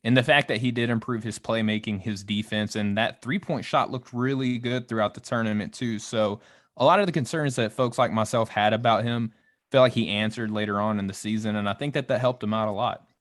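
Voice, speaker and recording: A man's voice: lowish voice, fairly quick, fairly bright tone. A man from Arkansas with a Southern US accent, a courteous, folksy podcast guest giving casual sports analysis.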